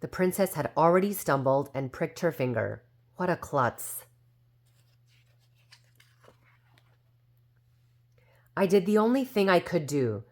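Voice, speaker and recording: A woman's voice: low-pitched, animated, moderate pace. A woman voicing a prim, know-it-all younger sister fairy, telling a storybook tale in a smug, critical, gossipy way. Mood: suspicious, exasperated. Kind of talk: storybook character narration.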